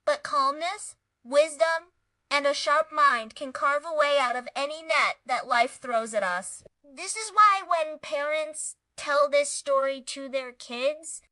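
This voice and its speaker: A woman's voice: high voice, takes it slowly, sharp tone, dry recording. A woman reading a scripted children's story review as narrator, with an earnest, emphatic and dramatic delivery.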